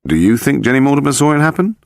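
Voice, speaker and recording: A man's voice: medium pitch, big pitch swings, very fast, vocal fry, dry recording, studio quality, pitched above the performer's own voice. A man voicing a bluff, worried male policeman with a blunt, questioning manner in an audiobook mystery. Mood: bewildered, worried.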